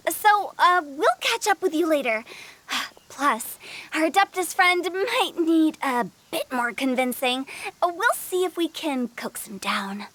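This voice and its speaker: Very high-voiced mischievous teenage girl. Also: sharp tone, full character voice. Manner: teasing, whimsical. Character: playful quirky teenage girl, mischievous amateur poet. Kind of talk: animated game dialogue.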